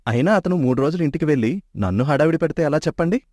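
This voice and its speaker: A cheeky, quick-tongued young man. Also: on the high side, lively intonation, darkish tone, dry recording. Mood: teasing. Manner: playful, quick-tongued and persuasive. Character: cheeky young man, bickering childhood friend. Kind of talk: animated storybook dub. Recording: dry close studio mic.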